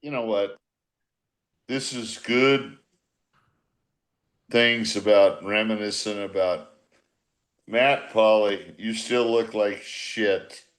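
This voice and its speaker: An older man with a fairly low voice chats casually over a video call. He is blunt and teasing, salting his reminiscing with profanity like an old ballclub skipper. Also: steady tone, slow pace, long pauses. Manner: blunt, teasing, swearing banter. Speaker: former baseball manager reminiscing with players. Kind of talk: casual profane group chat.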